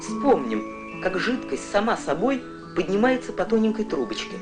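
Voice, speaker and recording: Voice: mid-range voice, sing-song, moderate pace. A narrator reading a scripted educational documentary in a storytelling way for young viewers. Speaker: newsreel narrator. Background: prominent music.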